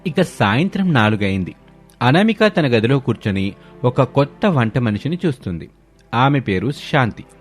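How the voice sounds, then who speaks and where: A man's voice: mid-range voice, sing-song, normal speed. A man reading scripted story narration as the narrator. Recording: music bed under voice. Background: background music.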